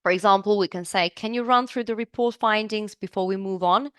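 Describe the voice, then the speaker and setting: A woman's voice: medium pitch, fairly quick, a bit bright, smooth, dry recording. A woman from Serbia, teaching business English in a live online class, upbeat and chatty, asking the class questions.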